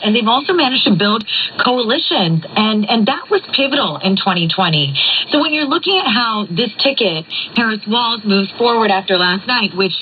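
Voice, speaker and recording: A woman giving articulate, emphatic political analysis as an interview guest, speaking in measured phrases. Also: medium pitch. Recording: noisy shortwave radio, narrow phone-like band. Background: steady radio static.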